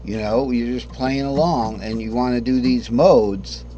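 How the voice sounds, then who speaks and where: A man teaching a casual guitar lesson, hoarse because he is losing his voice, who rambles, corrects himself and often clears his throat. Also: medium pitch, fairly even intonation, unhurried, highs cut off, slight background noise. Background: guitar playing.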